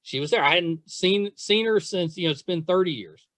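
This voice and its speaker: A middle-aged man hosting a podcast, chatting and telling stories in an excitable, effusive way, repeating phrases for emphasis. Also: high voice, normal speed, no echo. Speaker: podcast host interviewing a guest. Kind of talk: casual chat, storytelling.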